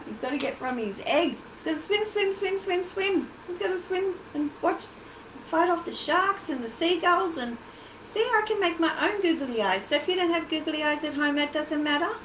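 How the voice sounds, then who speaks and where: A woman's voice: high-pitched, expressive, normal speed, a bit bright. An Australian-accented woman hosting a casual children's craft livestream, chatty and encouraging as she talks directly to the kids. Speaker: children's storytime and craft host. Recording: echoey, noisy livestream from a distance.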